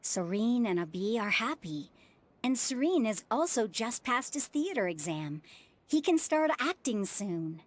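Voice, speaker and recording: A woman voicing a kind middle-aged former adventurer and widowed mother, speaking gently and reassuringly over soft music. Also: a little high, slight background noise. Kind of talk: game voice acting.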